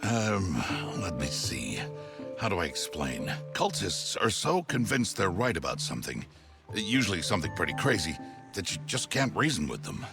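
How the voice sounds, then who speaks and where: An actor playing a gruff, kindly older guardian and playful narrator in a family adventure game, with a wry, hammy delivery. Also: male voice, low-pitched, wildly swooping pitch, normal speed, heavily acted. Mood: wry, grand. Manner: wry, hammy, comically flustered. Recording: music behind.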